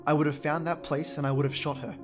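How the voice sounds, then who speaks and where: A man's voice: on the high side, flat delivery, fast talker, lightly acted. A man playing an agitated, bitter adult son in an audio drama, speaking emphatically. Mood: angry, bitter. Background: background music.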